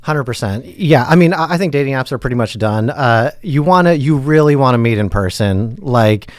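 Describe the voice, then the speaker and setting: A man aged forty, a podcast guest talking in a relaxed, agreeable way. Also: medium pitch, moderate pace, no room sound, studio quality. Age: 40s. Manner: laid-back, agreeable, says "hundred percent". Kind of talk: casual chat.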